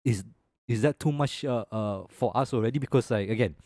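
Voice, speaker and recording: Young male co-host, Malaysian English accent, laid-back and opinionated, mid-pitched, dry close sound. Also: fairly quick, no echo. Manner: relaxed and opinionated, ends phrases with "lah". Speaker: podcast co-host. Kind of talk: casual podcast chat.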